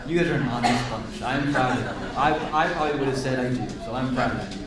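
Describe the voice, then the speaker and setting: A man reading a picture book aloud to children in an expressive, encouraging way, pausing to chat and ask them questions. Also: mid-range voice, over a noisy crowd. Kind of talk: animated read-aloud with asides to a young audience. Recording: echoey room, distant mic, audience sounds.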